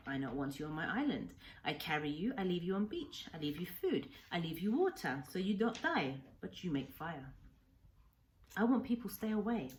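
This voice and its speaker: A woman voicing an old Japanese island hermit from a storybook, angry, sorrowful and gentle, in short sentences of halting broken English. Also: lowish voice, slight background noise. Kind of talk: storybook read-aloud character.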